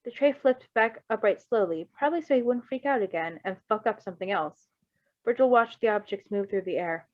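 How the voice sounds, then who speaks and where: A woman's voice: mid-range voice, animated, a little fast, no room sound, dull audio. A woman reading fan fiction aloud as an amateur narrator, with casual commentary.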